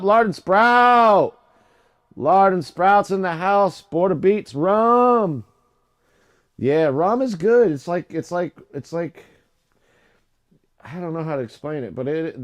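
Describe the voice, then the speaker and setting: A young adult man hosting a livestream, chatting casually and rambling with lots of fillers and restarts, his voice low today. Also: animated, slow pace. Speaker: live stream host.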